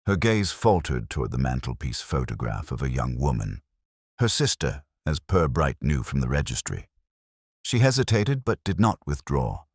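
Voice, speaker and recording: Male narrator, extremely low voice, animated intonation, dry sound, scripted mystery storytelling. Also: moderate pace, slightly rough, dry recording.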